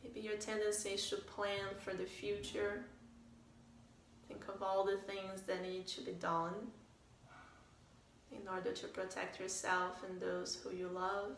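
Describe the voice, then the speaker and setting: A woman guides a meditation in a calm, gentle manner, with a level, barely varying melody and long pauses between phrases. She speaks very slowly, giving soft instructions. The recording is echoey, with faint noise around her voice. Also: medium pitch. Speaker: meditation teacher. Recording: roomy, distant mic, faint noise.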